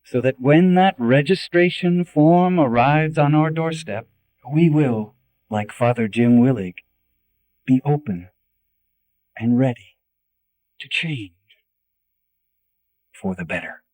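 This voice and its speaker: A man's voice: mid-range voice, slow, pauses often, darkish tone, no room sound. A man, a priest, reading a scripted audiobook dedication on a recording that sounds distant.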